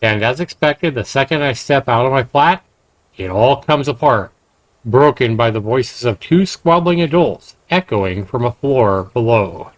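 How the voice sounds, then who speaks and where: A man's voice: medium pitch, lively intonation, unhurried, no echo, low-quality recording. A man hosting a game livestream, reading narration aloud and dropping in casual comments. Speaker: livestream host.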